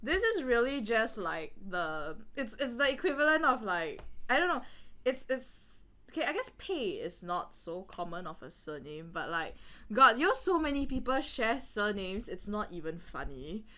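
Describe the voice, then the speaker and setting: A Singaporean woman talks casually with a friend on a podcast. Her medium-pitched voice swings up and down freely. She rambles in a giggly, exclamatory way as she comments. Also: sing-song, moderate pace, pushed and bright. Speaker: podcast co-host reading and commenting.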